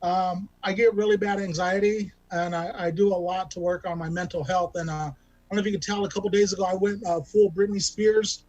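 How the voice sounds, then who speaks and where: A man's voice: high voice, steady tone, brisk, a little mellow, muffled recording. A man in his thirties from California doing a self-deprecating stand-up set over a video call, rambling with lots of ums and restarts. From Bakersfield, California. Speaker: stand-up comic.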